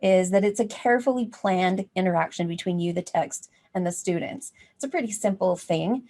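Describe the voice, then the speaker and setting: A woman's voice: on the low side, moderate pace, mellow. A woman giving an informal teaching workshop, explaining in a conversational way as she thinks aloud. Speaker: workshop presenter on teaching reading. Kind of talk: informal professional development talk.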